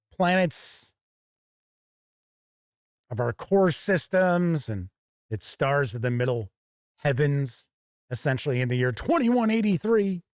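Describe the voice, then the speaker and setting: Male reviewer, slightly high voice, unhurried explanatory walkthrough, chatty asides, very dry close sound. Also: no echo. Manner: deliberate, explanatory, conversational asides. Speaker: reviewer and show host. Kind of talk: casual product review walkthrough.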